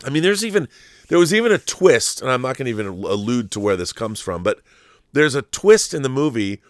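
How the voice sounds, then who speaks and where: A man's voice: mid-range voice, very animated, brisk, no room sound, studio quality. A man hosting a film commentary show, talking in a casual, opinionated monologue with an effusive, emphatic, rambling manner and some swearing.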